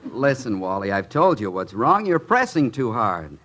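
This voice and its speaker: A young American college athlete in an old radio sitcom. He is plain-spoken and easily puzzled. His voice is medium in pitch and very lively in inflection. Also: moderate pace. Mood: exasperated. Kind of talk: 1950s radio sitcom acting.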